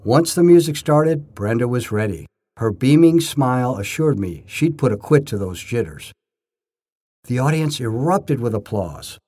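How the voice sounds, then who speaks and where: An older man narrating his own scripted first-person stories in short, measured phrases with a storyteller's emphasis. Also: medium pitch, lively intonation, warm tone, slightly rough.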